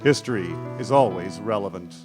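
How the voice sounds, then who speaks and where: A man's voice: on the low side, normal speed, lightly acted. A man narrating a history radio play in a scripted storytelling style. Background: soft plucked guitar music.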